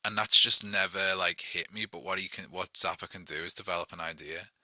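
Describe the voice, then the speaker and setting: A man's voice: sits low, fairly quick, bright, forceful tone, no echo. A young man with a Liverpool accent, a songwriter guest talking loosely and casually, full of "like" and "you know what I mean". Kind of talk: casual chat.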